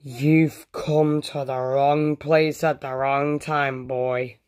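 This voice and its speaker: Someone voicing a gloating, slow-talking crocodile villain. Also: medium pitch, flat delivery, darkish tone, smooth, pitched below the performer's own voice. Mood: gloating menace. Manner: menacing, gloating, taunting. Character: murderous gloating villain crocodile monster, prehistoric predator. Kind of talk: homemade dinosaur story play-acting.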